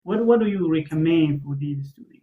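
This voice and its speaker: Farsi-native host asking long rambly questions in english, higher voice, muffled audio. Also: male voice, animated, fairly quick. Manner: long, searching questions with fillers. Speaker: interview host.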